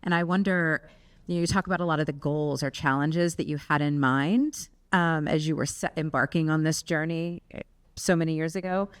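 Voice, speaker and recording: A woman's voice: deep voice, steady tone, normal speed, smooth. A woman moderating a talk in a lecture room, asking the speaker appreciative questions.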